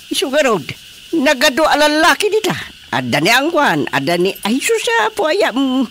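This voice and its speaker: A man with a Filipino accent playing an unhurried, deliberate village chairman in a radio drama. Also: very high-pitched, huge pitch swings, pushed and bright, a little hiss or hum, exaggerated voice. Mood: animated.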